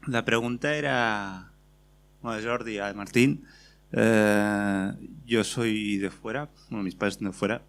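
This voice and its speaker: Man, lowish voice, slow, halting question from the audience. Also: fairly bright tone. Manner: halting, unsure. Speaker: audience member asking a question. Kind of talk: question from the floor.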